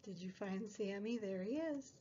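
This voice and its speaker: A young woman hosting a preschool story time, reading a picture book in a sing-song, exaggerated way with drawn-out words. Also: medium pitch, warm tone, muffled recording, slight background noise. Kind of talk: picture book read-aloud for small children.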